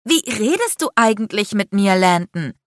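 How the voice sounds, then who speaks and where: A defiant young woman in an audiobook drama, put on by a female narrator. Her voice is pitched up, indignant and hurt, and she argues hotly in a dry, close recording. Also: very animated, normal speed, no room sound, natural voice. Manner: heated, indignant, provoking. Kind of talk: dramatic audiobook character acting.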